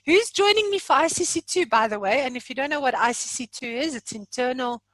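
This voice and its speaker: A woman's voice: high voice, lively intonation, brisk, fairly bright tone, no echo, poor audio. A woman hosting an informal online training session in a jokey, chatty, self-deprecating way. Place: remote online meeting from own room.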